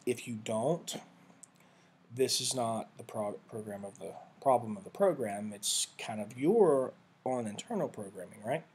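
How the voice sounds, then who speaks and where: A man giving a casual, rambling screen-share walkthrough of study software, often correcting himself mid-sentence. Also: mid-range voice, lively intonation, unhurried, slight background noise. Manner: rambling, self-correcting, conversational. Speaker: vlogger reviewing software.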